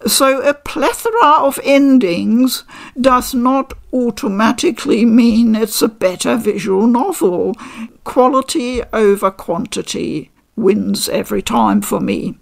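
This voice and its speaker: An older woman reviewing a game from her living room at a desk mic, measured and articulate with a dry, wry humor. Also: on the high side, vocal fry. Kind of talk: unboxing and review talk. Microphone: desk microphone.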